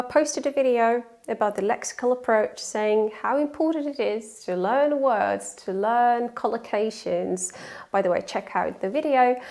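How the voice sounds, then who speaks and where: A woman from Belarus speaks English with a British-leaning accent, her first language being Russian. Her voice sits slightly high, and she explains things clearly and warmly to camera. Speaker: English-learning YouTuber. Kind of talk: presenting a lesson to camera.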